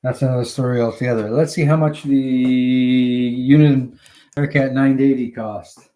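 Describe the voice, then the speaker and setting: A man with a mid-range voice chats casually to a livestream. He talks in a halting, digressive way, thinking aloud as he goes. The delivery is that of an unscripted hobbyist showing off what he bought. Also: mid-range voice, steady tone, mellow. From Canada. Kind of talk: casual rambling livestream chat.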